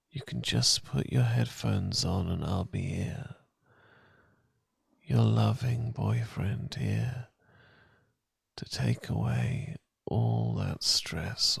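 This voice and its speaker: A British man murmurs as a loving, comforting boyfriend in a romantic ASMR relaxation. His low voice is heavily creaky and husky, often slipping into a whisper. He speaks slowly and tenderly, very close to the microphone. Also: dry recording. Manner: gentle, tender, unhurried, soothing. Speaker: ASMR audio performer. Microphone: close microphone, dry.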